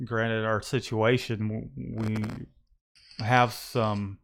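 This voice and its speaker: A man's voice: on the low side, level tone, drawn-out words, a bit bright, slight background noise. A man hosting a casual livestream about his screen-printing shop, talking in a rambling way with many restarts and fillers.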